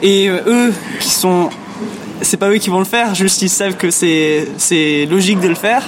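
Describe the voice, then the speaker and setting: English-native woman talking french, lots of pauses hunting for words, noisy background. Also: on the low side. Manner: halting, searching for French words. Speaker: musician interviewed. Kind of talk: interview answers.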